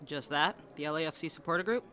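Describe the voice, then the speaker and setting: Audio drama female buddy, played by an actress: young, sharp bright tone, teasing, wry, devoted to her team. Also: low voice, quick pace. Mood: eager. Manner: sarcastic, bantering. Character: sassy, teasing young woman, die-hard local soccer fan.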